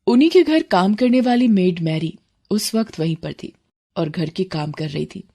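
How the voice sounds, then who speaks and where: A woman's voice: fairly low pitch, lively intonation, normal speed, warm tone, husky. A woman narrator reading a scripted moral story for children.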